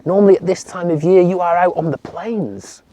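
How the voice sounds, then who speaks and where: A man voicing an ancient, wild-haired old man of the forest, speaking slowly and earnestly with his pitch lifted. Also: dark tone, close to an everyday voice.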